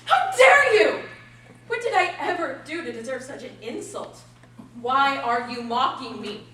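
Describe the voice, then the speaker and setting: A woman's voice: sits high, sing-song, normal speed, sharp tone, exaggerated voice. A woman playing an insecure, lovelorn young woman in a stage play, emotional and pleading, recorded from far off in an echoey hall. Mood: hurt. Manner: emotional, pleading, self-pitying. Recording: echoey hall, distant mic, noisy.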